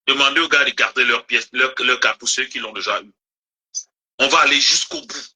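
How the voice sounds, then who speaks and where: A man on a live stream speaks resolutely to reassure listeners. His voice is husky and sharply bright, at an ordinary male pitch. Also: a little fast.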